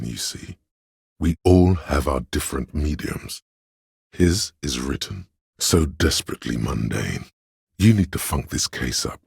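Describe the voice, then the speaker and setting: An actor playing the grandiose, artsy inner voice of a detective's imagination in a video game, narrating in a dramatic, florid, deliberate way. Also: male voice, a little mellow, no echo, clean studio sound, full character voice. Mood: grandiose, enthused. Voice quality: gravelly, husky bass. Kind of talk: theatrical, literary game narration.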